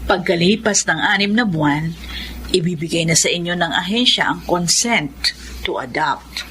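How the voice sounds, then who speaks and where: A low woman's voice, as a composed nun in a radio play. She explains formally and with measure, over faint ambient noise. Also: expressive, moderate pace, fairly bright tone. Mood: composed, official. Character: composed orphanage nun explaining adoption procedure. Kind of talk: Filipino radio drama acting.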